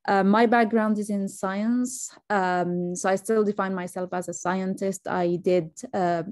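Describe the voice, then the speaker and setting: A woman from Syria talks informally as a panel guest, in a mid-pitched voice. The sound is dry and close, with hardly any room around it. She tends to laugh lightly as she speaks. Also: darkish tone, dry recording. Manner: laughs lightly while talking. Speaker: panelist, AI startup founder.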